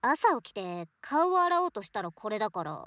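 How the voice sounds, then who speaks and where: A woman playing a shy, affectionate young student, a boy turned into a girl, talking softly to a close friend in a voice drama. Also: medium pitch, big pitch swings, brisk, no room sound. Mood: bewildered, troubled. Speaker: voice actor in a situation voice drama. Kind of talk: Japanese situation voice drama acting, intimate one-sided dialogue.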